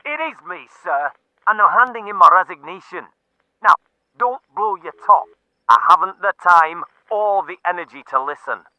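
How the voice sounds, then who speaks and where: A man's voice: high voice, lively intonation, normal speed, no room sound, muffled recording, pitched above the performer's own voice. A man voicing a defiant, exasperated police inspector, insistent and sardonic, in an audiobook. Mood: defiant, shouting.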